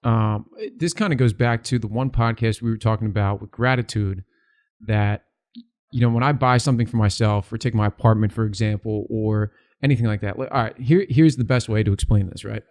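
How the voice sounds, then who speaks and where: A man's voice: on the low side, steady tone, fairly quick, darkish tone. Easygoing young man chatting on a podcast. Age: young adult. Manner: easygoing, chatty, rambling with restarts. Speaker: podcast co-host. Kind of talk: casual chat.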